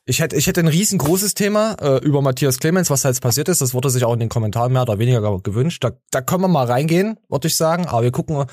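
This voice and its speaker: Male podcast host, mid-pitched voice, teasing and jokey, halting delivery, dry close mic. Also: darkish tone, no room sound. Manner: joking, teasing, full of fillers and restarts. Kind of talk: casual podcast chat.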